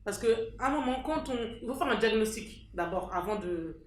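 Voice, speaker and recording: A woman's voice: medium pitch, expressive, moderate pace, roomy sound, slight background noise. A woman speaking up at an association meeting, emphatic and repeating her key points. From France. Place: meeting hall.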